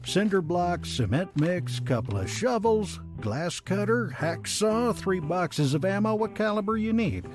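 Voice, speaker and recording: A man's voice: high-pitched, animated, measured pace, hardly any pauses, over music. A man playing a dry, folksy, easily exasperated small-town store owner in a comedy audio drama. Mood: folksy, friendly. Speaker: lead character and narrator of a comedy podcast.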